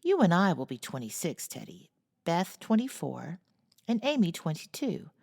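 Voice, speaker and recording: A woman plays a bold, boyish teenage girl from a classic storybook, always hatching plans. Her delivery is brisk and animated, with lively pitch swings over a low, gravelly base, recorded dry and close. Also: no echo, full character voice. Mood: eager.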